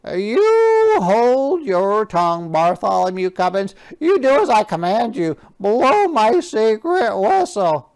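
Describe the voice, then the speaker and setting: A woman voicing a vain, bossy storybook king with an imperious, emphatic and grandly excitable manner. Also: mid-range voice, slow, hardly any pauses, no echo, pitched above the performer's own voice, poor audio. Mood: commanding.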